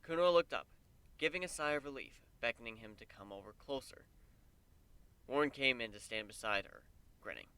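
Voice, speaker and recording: A man narrating a story as a dramatic reading, expressive and deliberate in his telling. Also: mid-range voice, clean tone.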